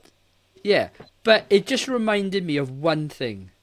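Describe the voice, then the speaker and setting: A man's voice: high-pitched, sing-song, measured pace. A man in his forties giving blunt, casual opinions on a film as a podcast co-host. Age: 40s. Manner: blunt, mildly sweary.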